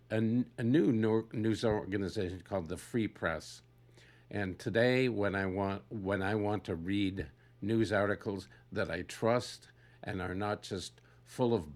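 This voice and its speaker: An older man giving an unscripted, reflective personal talk in a halting way, searching for words as he goes. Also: on the low side.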